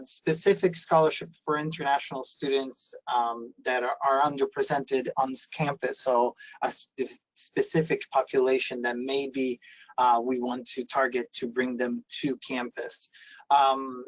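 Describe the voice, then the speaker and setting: A man's voice: medium pitch, no echo, rough recording. A man, raised in Italy, giving a webinar presentation on a video call and explaining things with many fillers and restarts. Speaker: university presenter.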